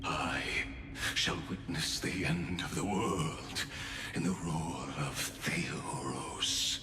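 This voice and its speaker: Deep-voiced, theatrical schemer, game music underneath. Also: male voice, slow pace, roomy sound. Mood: grand, theatrical. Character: theatrical scheming scholar man, calm, grand.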